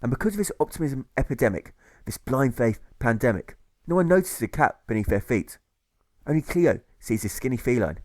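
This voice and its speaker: A man reading a scripted rhyming audiobook in rhythmic, measured phrases, landing on each rhyme. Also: mid-range voice, very animated, a little mellow, no echo. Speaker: author reading own audiobook.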